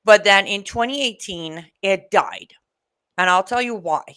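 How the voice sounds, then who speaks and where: A woman's voice: mid-range voice, unhurried, clean tone. Outspoken Iranian-born woman in her forties. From Iran. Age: 40s. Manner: outspoken, animated storytelling. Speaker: guest. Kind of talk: casual interview talk.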